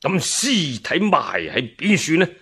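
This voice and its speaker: A man playing a righteous, loyal old court minister who questions sternly and deliberately, in a radio story. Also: on the high side, big pitch swings, gravelly, a bit bright, slightly rough, exaggerated voice.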